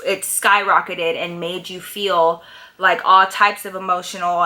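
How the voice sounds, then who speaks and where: Bright-voiced, blunt woman vlogger. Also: mid-range voice. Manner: blunt, candid, emphatic girl talk. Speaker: advice vlogger. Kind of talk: casual advice chat to viewers.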